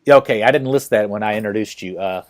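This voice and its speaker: A man hosting a podcast interview, talking casually and haltingly with many fillers and restarts. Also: fairly low pitch. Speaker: podcast host.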